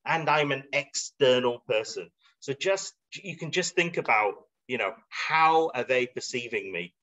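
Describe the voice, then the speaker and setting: A man's voice: mid-range voice, lively intonation, measured pace, sharp tone. A middle-aged man giving an informal explanatory webinar talk, conversational and a little hesitant as he thinks aloud. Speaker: webinar presenter. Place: indoor room. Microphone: video call. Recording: dull, band-limited video call audio.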